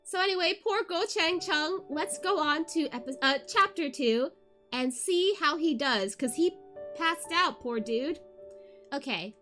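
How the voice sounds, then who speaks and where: A woman hosting a read-along livestream in an informal, expressive way, with music in the background. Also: sits high, a little slow. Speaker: VTuber host of a book read-along. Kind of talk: casual chatty livestream; expressive audiobook-style narration of a novel.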